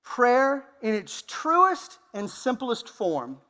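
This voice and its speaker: Bright-voiced preacher in a reverberant hall. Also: male voice, extremely high pitch, wildly swooping pitch. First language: English. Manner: speaks in short phrases, pausing for interpreter. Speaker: guest preacher. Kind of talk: sermon with live interpretation. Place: church sanctuary. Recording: long church hall reverb.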